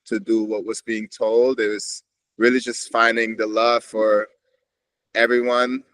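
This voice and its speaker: A man's voice: lowish voice, level tone, unhurried, fairly bright tone, no room sound, poor audio. A man from Switzerland, a podcast guest reflecting in a meandering, casual way with frequent restarts. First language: Swiss German. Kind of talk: casual conversation.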